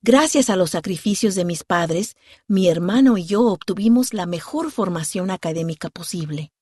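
A woman from Honduras narrating her own story in a calm, sincere scripted read. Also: on the low side, normal speed, slightly rough, no echo, studio quality. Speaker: narrator of a personal testimony. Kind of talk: scripted first-person narration.

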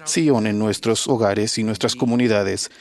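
A man delivering a solemn sermon at a church conference, slow, measured and reverent. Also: fairly low pitch, darkish tone.

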